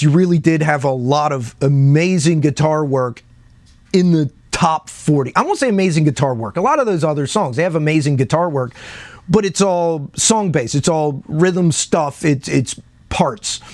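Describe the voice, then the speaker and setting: A man's voice: a little high, expressive, normal speed, a little mellow, no echo. A man hosting a casual music commentary video, speaking in a conversational, emphatic and opinionated way. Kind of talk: casual opinion talk to camera.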